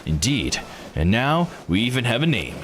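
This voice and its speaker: A man voicing a composed, dry-witted seeker of legends in a visual novel, with measured, formal manner. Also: mid-range voice, big pitch swings, background noise, close to an everyday voice. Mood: intrigued. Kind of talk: visual novel character read aloud. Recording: game music under the voice.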